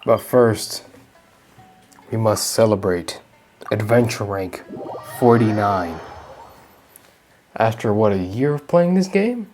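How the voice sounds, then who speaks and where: A man commentating his game playthrough in an easy, unhurried drawl, with offhand mumbled remarks. Faint game music runs under his voice. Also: on the low side, expressive, darkish tone. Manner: laid-back, mumbling asides. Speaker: gaming youtuber. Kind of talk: casual gameplay commentary.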